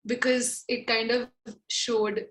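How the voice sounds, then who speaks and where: Young female guest, from India, high-ish voice, loose rambling chat. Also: little pitch movement, takes it slowly, fairly bright tone. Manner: chatty, meandering, laughs often. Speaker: singer-songwriter guest. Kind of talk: casual interview chat.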